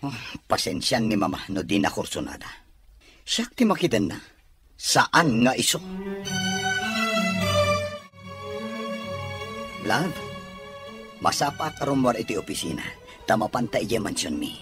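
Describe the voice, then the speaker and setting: Animated lovestruck Ilocano son. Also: male voice, fairly low pitch, normal speed, pauses often, music in the background. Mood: persuasive. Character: lovestruck, insistent grown son of a rich mother. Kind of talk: Ilocano radio drama acting.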